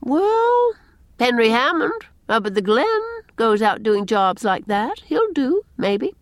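A woman voicing a brisk, opinionated middle-aged village spinster in a storybook, with an emphatic, folksy, tart way of talking. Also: fairly high pitch, sing-song, no echo, voice pushed up.

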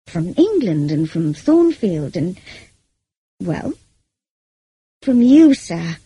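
A woman's voice: high voice, sing-song, moderate pace, dark tone, slightly rough, voice pushed up, exaggerated voice, poor audio. A woman voicing an earnest, passionate young governess in an audiobook story, emotional and pleading. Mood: tearful hesitation.

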